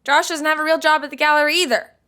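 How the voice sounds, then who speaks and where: A woman's voice: sits high, steady tone, very fast, bright, forceful tone. A woman playing a harried young intern in a sitcom, wry and self-deprecating. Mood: wry, resigned. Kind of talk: sitcom acting.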